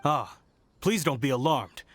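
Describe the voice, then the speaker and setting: A man's voice: medium pitch, animated, a little slow, no room sound, close to an everyday voice. A man voicing a dutiful, courteous soldier in a video game, speaking in a formal, reassuring, official way. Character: dutiful, courteous male soldier guarding a road.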